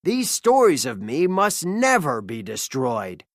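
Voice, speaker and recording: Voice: medium pitch, wildly swooping pitch, takes it slowly, dry recording, exaggerated voice. Narrator doing pompous pirate captain bad guy for a kids storybook, theatrical, very dry close sound. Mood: self-satisfied. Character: villainous pompous pirate captain, adult man.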